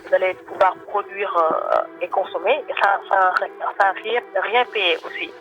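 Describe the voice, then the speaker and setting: A woman working as a telemarketer, giving a polite, explanatory sales pitch on a phone call. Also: unhurried. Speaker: telemarketer selling solar panels. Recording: phone call over faint music.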